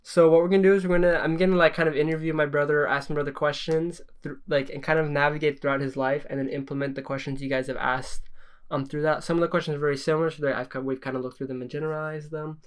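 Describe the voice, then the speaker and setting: A man's voice: on the high side, little pitch movement, fast, clean tone. A young man interviewing his younger brother in a casual chat, asking rambling questions full of fillers.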